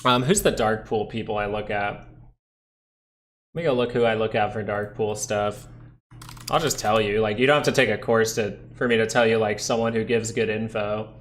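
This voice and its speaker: A man's voice: on the low side, steady tone, normal speed. A man with a nasal voice hosting a casual live stock market stream, chatting in a rambling way with many fillers. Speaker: stock market livestream host.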